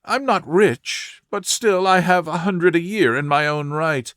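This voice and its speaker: Man voicing an anxious, high-pitched young woman. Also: unhurried, a bit bright, no echo, clean studio sound. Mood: pleading. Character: nervous, earnest good-humored young woman client, easily startled.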